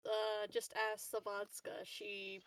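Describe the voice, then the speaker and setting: A woman's voice: mid-range voice, monotone, takes it slowly, no echo, distant mic, rough recording. A woman playing a tabletop game, chatting casually in a wry, loose, slangy way.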